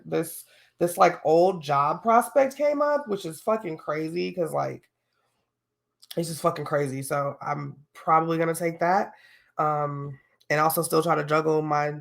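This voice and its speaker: Young woman rambling on her own podcast, kinda gravelly, sniffly w a cold, loads of um and like. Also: fairly low pitch. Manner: chatty, candid, many fillers and slang. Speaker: podcast host. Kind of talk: casual solo podcast chat.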